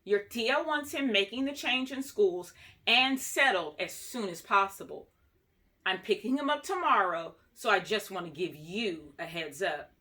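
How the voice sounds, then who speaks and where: A woman's voice: medium pitch, expressive, a little slow, pushed and bright. A woman voicing a serious, bossy, slightly irritated mother in a children's storybook, firm and no-nonsense. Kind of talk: storybook read-aloud dialogue.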